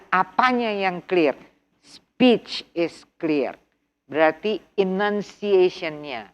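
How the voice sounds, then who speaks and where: A woman's voice: on the low side, muffled recording. A middle-aged woman with an Indonesian accent teaching an online TOEFL workshop, switching between English and Indonesian, quizzing her class and repeating key words. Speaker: TOEFL instructor.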